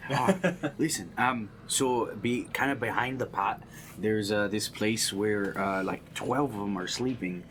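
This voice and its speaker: A man's voice: fairly low pitch, measured pace, a bit bright, a little hiss or hum. A man chatting casually as a player in a group tabletop game.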